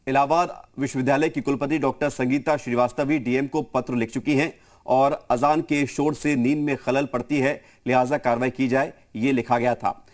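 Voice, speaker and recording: A man's voice: mid-range voice, steady tone, moderate pace. A man anchoring live television news and putting questions to guests.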